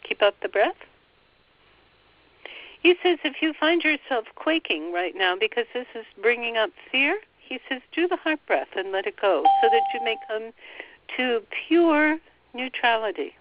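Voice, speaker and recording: A woman leading a slow guided meditation, softly passing on a spiritual guide's words phrase by phrase. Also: on the high side, big pitch swings, a little hiss or hum. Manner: soft, relaying every phrase with "he says". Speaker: channeler relaying a spiritual guide. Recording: dull, dry lo-fi recording.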